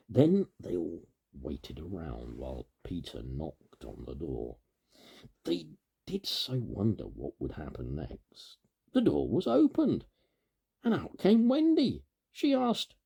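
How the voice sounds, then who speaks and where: A man reading a children's story aloud in an animated way, with informal asides and a gravelly, husky voice. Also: a bass voice. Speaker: storybook reader.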